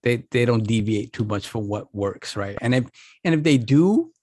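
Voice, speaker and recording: A tired man with a gravelly, worn-out voice, co-hosting a casual gaming podcast and thinking aloud with many restarts. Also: mid-range voice, lively intonation, normal speed, a little mellow, no room sound, studio quality. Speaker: podcast co-host.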